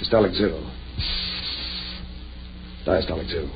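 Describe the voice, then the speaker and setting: Gravelly, uneasy surgeon's assistant. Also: male voice, sits low, unhurried, over loud music. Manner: questioning, hesitant. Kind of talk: 1940s radio horror acting. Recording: dull old radio recording.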